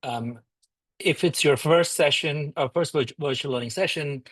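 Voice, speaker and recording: A man's voice: fairly high pitch, normal speed, fairly bright tone. A man steps in to welcome people to an online alumni series. He speaks at an easy pace with the odd um, and his voice comes over a video call. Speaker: alumni network president. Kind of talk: casual webinar welcome.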